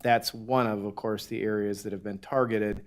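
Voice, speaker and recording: A man with a low, steady voice that stays level. He speaks as the city attorney at a council meeting and delivers his report in formal, careful terms. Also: normal speed. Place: council chamber.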